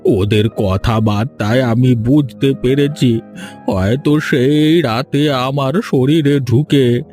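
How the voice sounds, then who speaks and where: A scared old villager, played by a man, confesses slowly and haltingly over background music. He is a fearful captive in a dramatic cartoon. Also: medium pitch, darkish tone, natural voice. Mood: fearful, confessing.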